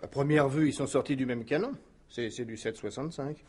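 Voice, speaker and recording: A man's voice: mid-range voice, fairly quick, darkish tone, slightly rough, lightly acted. A man playing a deferential police subordinate who reports factually in a radio crime drama. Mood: businesslike. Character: dutiful, matter-of-fact male police subordinate reporting. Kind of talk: 1950s-style French radio crime drama acting.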